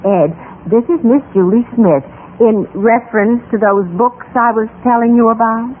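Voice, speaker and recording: An actress playing a genteel, soft-hearted, hospitable housewife with a polite, fluttery, gently pleading manner, in 1950s radio drama style. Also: female voice, on the high side, normal speed, narrow, tinny audio, lightly acted. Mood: sympathetic, pleading. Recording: band-limited old radio broadcast, background noise.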